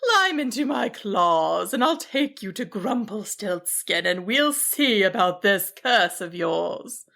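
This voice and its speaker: Lady doing a big kind mama dragon, slow and booming, a bit gravelly. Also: sing-song, fairly bright tone, heavily acted. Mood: warm. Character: big kind but fearsome female dragon, protective.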